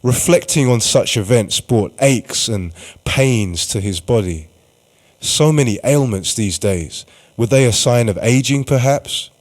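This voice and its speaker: A man's voice: medium pitch, animated, unhurried. A man reading scripted, dramatic narration for a radio play. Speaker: narrator and writer-performer.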